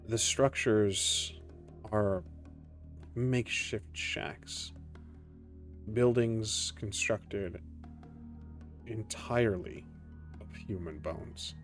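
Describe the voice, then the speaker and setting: A man acting as game master, narrating a tabletop roleplaying game in a measured, descriptive way with pauses. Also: lowish voice. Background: music under the voice.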